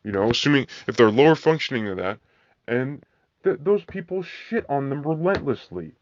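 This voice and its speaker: Flat-voiced man ranting angrily. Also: medium pitch, normal speed, no room sound. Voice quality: flat monotone voice. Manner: profane, heated, repetitive. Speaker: vlogger. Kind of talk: angry ranting monologue. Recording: dull lo-fi dry recording.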